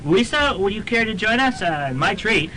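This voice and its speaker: A man acts out a timid academic in a student radio comedy. His voice is high and bright, halting and stammering through every sentence, with faint rumble underneath. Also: lively intonation, low-quality recording. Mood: flustered. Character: nervous, stammering male professor and hobby demonologist.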